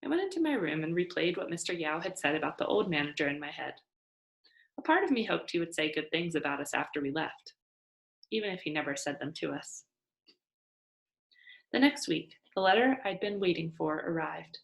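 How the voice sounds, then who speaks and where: A woman reading a children's novel aloud as an expressive storyteller. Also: lowish voice, creaky. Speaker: storybook reader.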